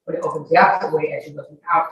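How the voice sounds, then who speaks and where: A woman's voice: low-pitched, steady tone, very fast, a bit bright. A woman testifying as a witness in a courtroom in an informal, rambling, colloquial way. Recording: echoey courtroom, distant mic, faint noise.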